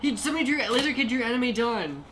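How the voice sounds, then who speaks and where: A woman whose first language is Plautdietsch Low German, co-hosting a livestream in casual chat. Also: big pitch swings, quick pace, a bit bright. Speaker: livestream co-host. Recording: noticeable background noise.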